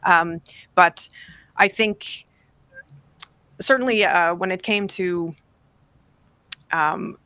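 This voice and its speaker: A bright, clear-voiced woman in her forties talks as a guest author, thoughtful and measured, pausing with fillers as she builds her points. She is recorded dry and close on a desk mic in a home study. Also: lowish voice, no room sound. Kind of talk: interview on radio show. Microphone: desk podcast mic on boom, video call.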